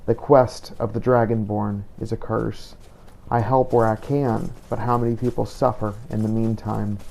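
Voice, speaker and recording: Male narrator, grave vampire hunter journal: dark-toned, monotone, measured and solemn. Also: lowish voice, gravelly. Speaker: game player narrating a roleplay playthrough. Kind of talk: in-character scripted journal narration.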